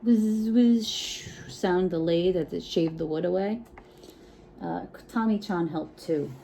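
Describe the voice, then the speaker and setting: A woman reading a picture book aloud to children in an expressive, encouraging way, with chatty asides and frequent check-in questions. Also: on the low side, slow pace, vocal fry, slight background noise. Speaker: children's storytime reader.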